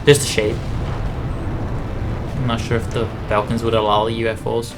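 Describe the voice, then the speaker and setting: A man's voice: medium pitch, flat delivery, moderate pace, noisy background. A man giving casual, rambling, explanatory gameplay commentary on a video game. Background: game music and aircraft sounds.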